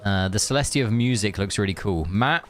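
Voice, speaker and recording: A man's voice: fairly low pitch, a little fast, a bit bright, soft background music. A man chatting casually on a game live stream, rambling and answering viewers as he plays. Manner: rambling, chatty, reads chat aloud.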